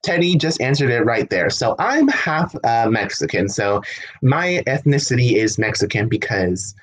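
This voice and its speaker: A man from the United States chats through a home livestream, reading out and answering viewer questions. His voice sits at an ordinary middle pitch. He talks loosely, often laughing and restarting his sentences as he goes. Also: big pitch swings, moderate pace, a bit bright. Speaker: livestream host.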